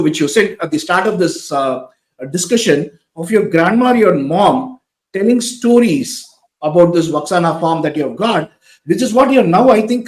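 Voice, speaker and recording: A man's voice: high voice, reverberant, rough recording. A man with an Indian English accent hosting a podcast interview, thoughtfully summarizing his guest's points and asking follow-up questions. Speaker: podcast host. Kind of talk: conversational interview.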